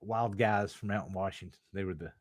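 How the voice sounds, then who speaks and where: A man with a low, deep-set voice co-hosts a relaxed livestream conversation. He rambles along with plenty of fillers and a laid-back ease. The sound is dry and close, with no room around it. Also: brisk, husky, no room sound. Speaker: podcast co-host. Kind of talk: casual livestream chat.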